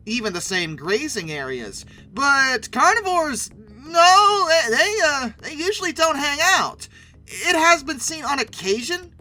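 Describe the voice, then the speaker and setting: A man with a high and strikingly bright voice delivers sarcastic commentary as a video host. His pitch swings in a lively way, and he stretches words for wry emphasis. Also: poor audio. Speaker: host of a dinosaur video channel.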